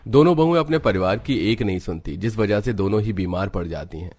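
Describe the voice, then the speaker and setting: A man's voice: on the low side, animated, a little fast, darkish tone. A man narrating a scripted cartoon story as its storyteller.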